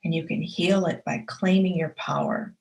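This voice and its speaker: A woman's voice: fairly low pitch, fairly even intonation, normal speed, gravelly, poor audio. A woman hosting her own show and giving a motivational talk. She speaks earnestly and emphatically, stacking short repeated affirmations and addressing the listener directly.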